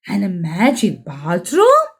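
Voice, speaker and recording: A woman's voice: medium pitch, wildly swooping pitch, takes it slowly, breathy edge, pitched below the performer's own voice, exaggerated voice. A woman voicing a curious, easily amazed young girl pig in a storybook, speaking with eager wonder. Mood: delighted. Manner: eager questions and gasps.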